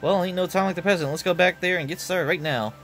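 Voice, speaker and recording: A man's voice: sits high, quick pace, a bit bright, faint music behind, voice pushed up. Man doing a drawling breezy painter girl. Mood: eager. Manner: drawling, casual, clipped words. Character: breezy folksy young woman painter.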